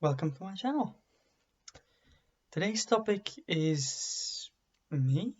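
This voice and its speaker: Young man, wry vlogger, slightly high voice, muffled webcam sound. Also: lively intonation, slow pace, darkish tone, husky. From Belgium. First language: Dutch. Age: young adult. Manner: dry, self-deprecating humor. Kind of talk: casual self-introduction to camera. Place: bedroom study with bookshelves, indoors. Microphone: webcam at desk. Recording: dull lo-fi webcam audio.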